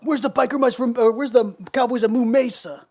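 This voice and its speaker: A woman's voice: fairly high pitch, fairly even intonation, a little fast, a little mellow. A woman in her early thirties chatting casually as a podcast co-host, with a playful, teasing manner. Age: 30s. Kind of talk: casual chat.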